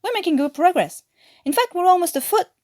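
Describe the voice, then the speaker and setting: A hearty former miner in a prison camp, played by a woman reading aloud. He is a lively wartime story character who speaks emphatically, his voice often lifting high. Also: brisk. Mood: cheerful. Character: hearty, grinning male miner turned prisoner of war.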